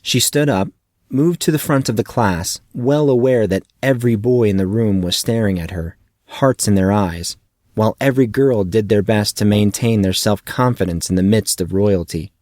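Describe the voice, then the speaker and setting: A man's voice: fairly low pitch, moderate pace, a little mellow, no room sound, studio quality. A man reading fiction aloud as a scripted audiobook narrator.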